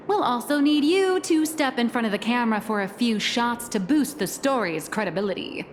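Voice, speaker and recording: A woman's voice: on the high side, big-room sound, slight background noise, lightly acted. A woman playing a brash, confident female editor-in-chief in a video game, bossy and theatrical. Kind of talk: video game character acting.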